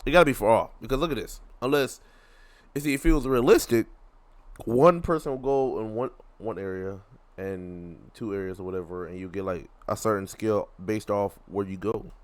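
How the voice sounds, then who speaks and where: A man's voice: fairly low pitch, moderate pace, no echo. A man giving casual reaction commentary in a slangy, repetitive way. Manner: slangy, repeats himself. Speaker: reaction video creator.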